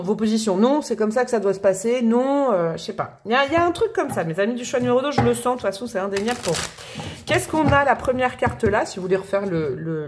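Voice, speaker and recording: A woman doing a casual, chatty tarot reading to camera, with expressive, playful emphasis. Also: fairly high pitch, a little hiss or hum. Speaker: tarot reader.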